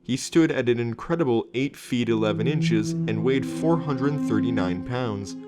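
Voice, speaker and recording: A man's voice: mid-range voice, moderate pace. A man hosting and narrating a scripted informational documentary. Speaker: host and narrator. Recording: music under the voice. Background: background music.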